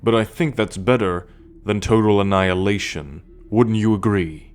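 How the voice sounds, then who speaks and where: A man's voice: smooth, natural voice. A man playing a cocky, teasing male alien scout warrior in an ASMR roleplay, talking straight to the listener with a drawl. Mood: explaining, proud. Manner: teasing, slow and drawling, with low chuckles.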